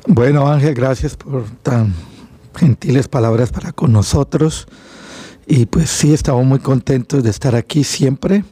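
Colombian male radio guest, warm dark tone, dry studio sound, hesitant and friendly. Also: mid-range voice, no echo. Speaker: guest on migration issues. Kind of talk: casual radio chat.

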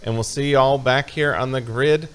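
A man's voice: medium pitch, fairly even intonation, normal speed, clear, resonant tone. A man from upstate New York hosting a live show, chatting casually with a guest and wrapping up with energy. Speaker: show host.

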